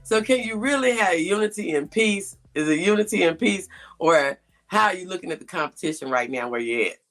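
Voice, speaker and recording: Creaky-voiced woman hosting an interview. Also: lowish voice, lively intonation, fairly quick, fairly bright tone, faint music behind. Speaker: podcast host interviewing a guest. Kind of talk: conversational interview.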